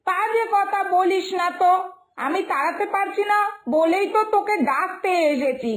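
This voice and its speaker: A woman voicing an exasperated, superstitious village mother who scolds and wails in a cartoon fairytale. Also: moderate pace. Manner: shrill scolding, wailing complaints.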